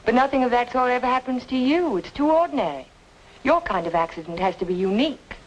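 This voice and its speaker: An actress playing an earnest, insistent woman in an old film noir drama, speaking emphatically and deliberately. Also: fairly high pitch, lively intonation, lo-fi sound, natural voice. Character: earnest, insistent woman reasoning with a troubled man. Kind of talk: mid-century film noir drama acting. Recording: noisy old film soundtrack, hissy.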